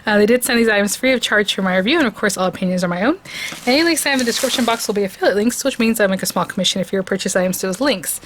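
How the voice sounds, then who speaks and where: Hoarse, chatty woman crafter. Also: mid-range voice, racing, slight background noise. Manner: chatty and excitable, gushing over products. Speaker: craft video host. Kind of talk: casual product review and crafting demo.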